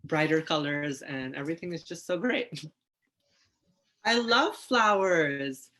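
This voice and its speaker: A man's voice: on the high side, takes it slowly, bright, forceful tone. A man who is a drag artist, sharing stories in an enthusiastic, informal way. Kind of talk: picture-book reading and casual sharing.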